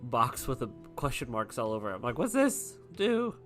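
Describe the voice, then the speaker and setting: A woman with a bright, very animated voice plays a tabletop game. She talks casually and tentatively, with music faintly behind her. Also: rumbling low, moderate pace. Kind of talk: casual chat in actual play.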